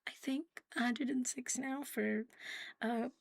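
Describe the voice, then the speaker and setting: Woman, soft breathy voice, fairly high pitch, playful and cutesy, half-whispered livestream chat, close mic. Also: fairly even intonation, measured pace, no room sound. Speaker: ASMR live streamer hosting in a virtual world. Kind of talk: casual livestream chat with viewers. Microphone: close, sensitive streaming microphone.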